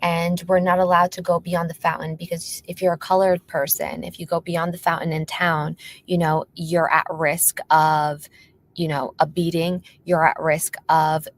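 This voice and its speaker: A woman's voice: fairly low pitch, flat delivery, normal speed. A young adult woman guest on a podcast interview, telling her story earnestly and emphatically, with frequent fillers. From born in Palestine, raised in North Philadelphia.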